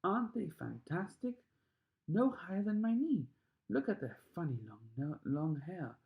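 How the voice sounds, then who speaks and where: Storybook kid, voiced by a man: much higher pitch, astonished, urgent exclamations, dull lo-fi recording. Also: sing-song, unhurried, vocal fry, exaggerated voice. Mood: amazed. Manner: exclaiming, short urgent bursts. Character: excited shrieking children spotting something astonishing, high and breathless.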